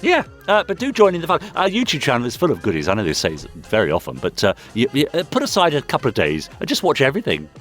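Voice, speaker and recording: A man's voice: medium pitch, wildly swooping pitch, fast talker, few pauses, a bit bright, faint music behind. A man co-hosting a podcast, chatting playfully as he wraps up the show. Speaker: podcast co-host. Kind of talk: casual chat.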